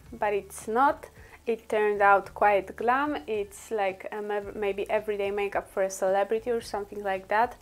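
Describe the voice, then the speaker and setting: A woman whose first language is Polish, chatting through a makeup tutorial with many fillers and laughs. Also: medium pitch. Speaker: beauty vlogger.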